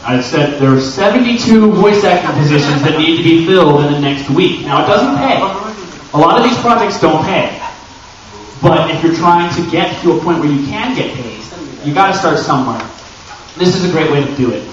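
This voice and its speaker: A man giving an informal, joking panel talk to a live audience, animated and given to acting out little imagined exchanges. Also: a little high, moderate pace. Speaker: convention panel presenter and amateur voice actor. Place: indoor convention panel room. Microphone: camera microphone at a distance. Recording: echoey room, distant mic, dull noisy audio.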